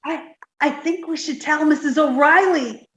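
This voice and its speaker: A woman's voice: high voice, unhurried, fairly bright tone, echoey room. A woman playing a naive, dim-witted, earnest young roommate in a 1950s-style radio comedy. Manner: innocent, literal-minded.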